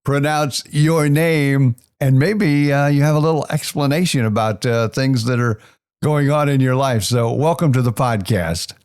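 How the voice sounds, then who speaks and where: A man's voice: mid-range voice, a little slow, darkish tone, smooth. A man hosting an interview podcast, friendly and easygoing, with some "uh" fillers as he asks questions. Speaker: podcast host.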